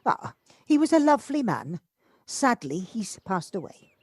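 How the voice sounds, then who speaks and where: A woman's voice: medium pitch, sing-song, a little slow, a little mellow, slightly rough, dry recording, exaggerated voice. Woman acting a kindly chatty neighbour lady, polite, bit reserved, voice goes up and down, amateur radio play. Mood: chatty, approving. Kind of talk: amateur audio drama acting. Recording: home-recorded on a personal device.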